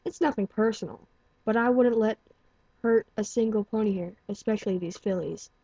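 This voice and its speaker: Woman reading as an older stallion doctor, cold and protective, breathy dull tone. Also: medium pitch, dark tone, dry recording. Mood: stern. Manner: measured, cool and stern. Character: older male pony doctor, taciturn and protective.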